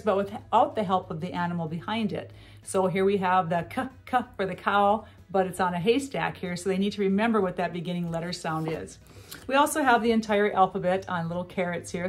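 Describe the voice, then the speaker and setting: A middle-aged woman demonstrating educational toys at a table in a small room, explaining each step clearly and cheerfully, with faint music behind her. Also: fairly low pitch. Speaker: toy seller demonstrating products. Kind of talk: product demonstration.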